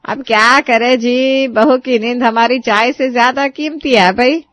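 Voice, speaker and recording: A woman's voice: a little high, expressive, moderate pace, a bit bright. A woman doing a bossy, nagging mother-in-law. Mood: sarcastic. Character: demanding greedy middle-aged mother-in-law, taunting.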